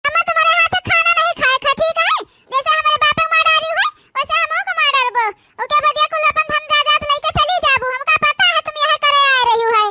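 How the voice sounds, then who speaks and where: A woman's voice: very high voice, a little fast, few pauses, pushed and bright, slight background noise, heavily acted. A woman voicing an aggrieved, distrustful orphaned village teenage girl in a cartoon, whiny, with an Awadhi accent. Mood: accusing, angry. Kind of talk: village cartoon comedy-drama acting.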